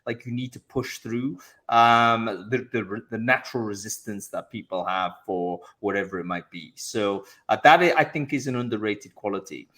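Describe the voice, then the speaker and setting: A man's voice: on the low side, moderate pace, hardly any pauses, fairly bright tone. A man hosting an interview show, recapping his guest's advice in casual, enthusiastic chat with frequent fillers and restarts. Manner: enthusiastic, rephrases and sums up guest's points. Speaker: podcast host.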